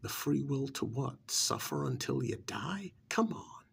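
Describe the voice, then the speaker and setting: Man playing a gravelly, unhurried smug vampire's familiar. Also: mid-range voice, huge pitch swings, in a whisper, exaggerated voice. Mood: dismissive coaxing. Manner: conversational, cajoling, with rhetorical questions and pauses. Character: smug pampered vampire's familiar working as recruiter, persuasive, dismissive. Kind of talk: ASMR role-play monologue.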